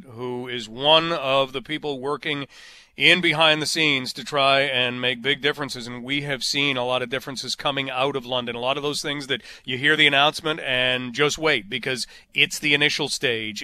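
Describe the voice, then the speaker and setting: A man's voice: mid-range voice, pushed and bright. A man hosting a radio interview, asking earnest, leading questions. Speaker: radio host.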